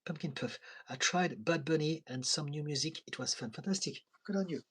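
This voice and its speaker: A man's voice: fairly high pitch, a little fast, breathy edge. A man with a French accent, a tired therapist chatting casually on a live stream and reading out viewer comments with frequent laughs. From France. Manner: chatty, laughs often, soft asides. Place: home in London.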